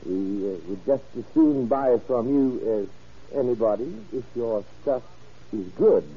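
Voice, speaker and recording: An actor playing a courteous, formal businessman buying drugs in 1940s radio drama, speaking in deliberate, stilted polite phrases. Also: mid-range voice, warm tone. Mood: businesslike, guarded. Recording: muffled, husky old radio recording.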